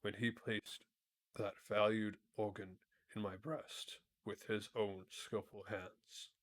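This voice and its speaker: A low-voiced, courtly emperor made of tin, acted out by a man reading aloud. He is kindly but rather pompous, choosing formal words with measured dignity, as a storybook ruler would. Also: little pitch movement. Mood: proud, dignified. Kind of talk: storybook character read aloud.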